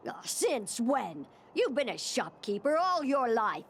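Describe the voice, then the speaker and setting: An actress playing a shopkeeper's older wife in a video game, worried and nagging. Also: female voice, fairly high pitch, big pitch swings, measured pace, slight background noise. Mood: scolding. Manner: folksy, nagging. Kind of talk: video game character acting.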